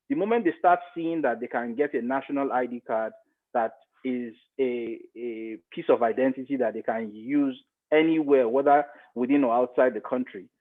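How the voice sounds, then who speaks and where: A man with a Nigerian accent in a podcast discussion, explaining earnestly and repeating points for emphasis. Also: medium pitch. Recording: muffled phone-like audio.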